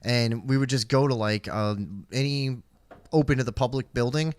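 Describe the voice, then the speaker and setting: A man's voice: mid-range voice, normal speed. A man co-hosting a talk show, telling comedic stories casually with plenty of swearing and slang. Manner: profane, slangy.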